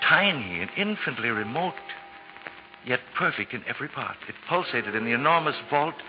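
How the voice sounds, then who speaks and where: Male narrator, stately storyteller for golden-age radio play, dull old recording under swelling loud music. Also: mid-range voice, sing-song, fairly quick. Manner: grand, poetic storyteller delivery. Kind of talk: 1940s radio scripted dramatic narration.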